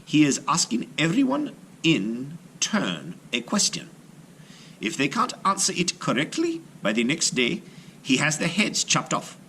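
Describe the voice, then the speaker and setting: Man playing an animated, anxious honest father. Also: fairly high pitch, unhurried, slight background noise, voice pushed up, heavily acted. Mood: miserable. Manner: miserable, earnest. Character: anxious, honest coppersmith, devoted Moroccan father.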